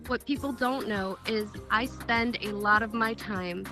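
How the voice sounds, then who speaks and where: A woman giving a direct, emphatic talk, her voice sitting a little high. Music plays clearly behind her throughout. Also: unhurried. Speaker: event speaker. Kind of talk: prepared speech at a virtual event.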